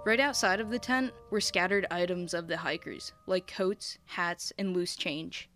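A woman's voice: on the low side, measured pace, a bit bright. A woman narrating a scripted mystery podcast as a storyteller. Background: background music.

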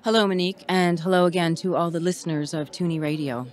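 An actress playing a weary but dutiful emergency management officer giving a formal radio interview. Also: female voice, fairly low pitch, fairly even intonation, fast talker, lightly acted. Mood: measured, official.

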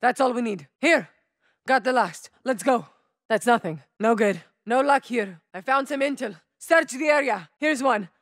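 A woman's voice: normal speed, no room sound, clean studio sound, lightly acted. A woman voicing a fierce, terse soldier. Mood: steady, focused. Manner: terse combat callouts, shouted warnings and low calm lines. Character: fierce young female soldier operator. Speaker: playable game operator. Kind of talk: video game voice lines.

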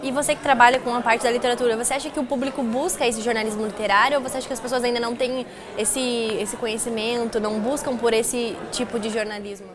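A woman's voice: on the high side, fairly even intonation, fast, few pauses, a crowd in the background. A young woman with a Brazilian accent, asking questions as an interviewer. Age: young adult.

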